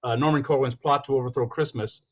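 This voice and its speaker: A man's voice: medium pitch, steady tone, quick pace. Mature man chatting over a dull connection. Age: middle-aged or older. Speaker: guest, radio drama producer and performer. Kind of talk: casual chat.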